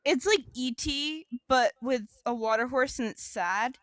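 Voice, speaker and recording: A woman's voice: high voice, a little slow, no echo. A woman co-hosting a podcast in casual comedic banter, playful and wry. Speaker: podcast co-host.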